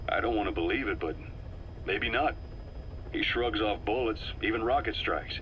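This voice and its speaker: An actor playing a wary, brooding veteran intelligence operative, giving briefings in a measured, grave manner for a game. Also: male voice, fairly low pitch, fairly bright tone, slight background noise, lightly acted, rough recording. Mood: uneasy, skeptical. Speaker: briefing officer. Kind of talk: dramatic game briefing dialogue.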